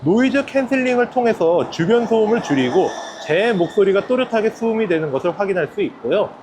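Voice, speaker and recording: A man recites scripted test narration for a camera review. His voice is slightly high and very varied in melody, captured by an action camera with clearly audible ambient noise. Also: expressive, fairly quick. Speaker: tech review channel host.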